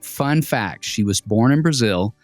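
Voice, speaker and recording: A man co-hosting a podcast who reads a guest introduction in a measured, scripted way. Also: medium pitch, expressive, soft background music. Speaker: podcast co-host.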